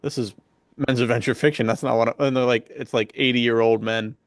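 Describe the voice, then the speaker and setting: Gravelly man in casual opinionated chat. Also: mid-range voice, steady tone, fairly quick, no echo, clean studio sound. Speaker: podcast panelist.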